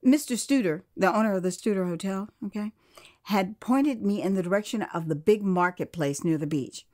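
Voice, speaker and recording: An American woman narrating her own first-person memoir as theatrical audio drama, expressive, drawing out words for emphasis and dropping in chatty asides. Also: mid-range voice, normal speed. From United States.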